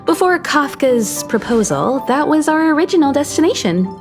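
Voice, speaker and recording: Elegant, lilting, higher-voiced lady traveler. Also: very animated, moderate pace, over music. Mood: composed. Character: elegant, wistful adult woman traveler, warmly teasing. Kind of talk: English video game voice acting.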